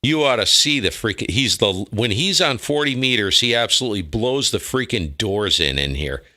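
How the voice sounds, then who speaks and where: An American man with a lowish, sharply forceful voice. He chats as a ham radio operator in an enthusiastic, slangy, offhand way. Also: expressive, moderate pace. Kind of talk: casual radio chat.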